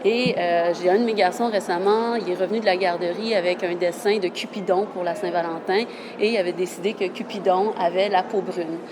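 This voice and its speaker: A woman's voice: lowish voice, steady tone, fairly quick, noisy background. A woman with a Québécois French accent in her thirties, a journalist talking earnestly in an interview, often restarting her phrases. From Quebec. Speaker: journalist guest. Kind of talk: casual interview talk.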